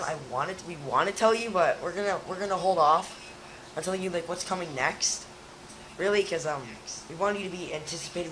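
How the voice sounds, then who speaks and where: Young male co-host, hoarse and raspy, rambling, faint noise behind. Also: high voice, a bit bright, low-quality recording. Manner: rambling, restarts often. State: hoarse from a cold. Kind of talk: casual chat.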